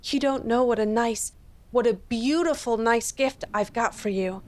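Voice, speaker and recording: A woman's voice: on the high side, a little slow, darkish tone, pitched above the performer's own voice, close to an everyday voice. A woman voicing an anxious, loving young wife who speaks in an eager, pleading way.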